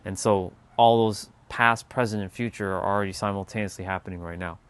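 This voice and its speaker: A man's voice: fairly low pitch, steady tone, fairly quick, smooth. A man hosting a casual livestream, musing aloud on spiritual ideas and answering viewers in chat, with loose, offhand swearing. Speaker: livestream host.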